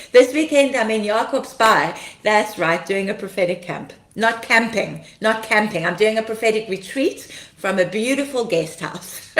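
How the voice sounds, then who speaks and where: A woman, slightly croaky from being ill, hosting a casual ministry livestream, chatting, laughing often and greeting viewers by name. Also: fairly high pitch, reverberant. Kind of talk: casual livestream chat reading comments.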